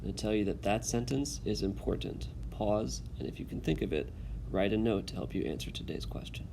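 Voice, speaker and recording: Male teacher, low and gravelly, guiding students through a read-aloud over faint background noise. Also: lively intonation. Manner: instructive, pausing to check understanding. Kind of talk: instructional video with read-aloud.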